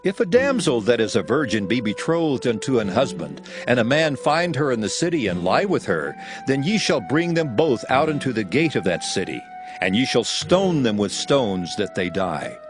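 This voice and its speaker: A man reading scripture aloud as a narrator, solemn and measured, in a dramatized style. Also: medium pitch, sing-song, over music. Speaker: Bible narrator.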